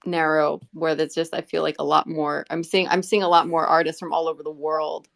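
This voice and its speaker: An American woman chatting casually as an interview guest, rambling and halting as she rephrases mid-sentence. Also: low-pitched, flat delivery, creaky. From United States. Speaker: interview guest, community member. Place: live online audio group chat.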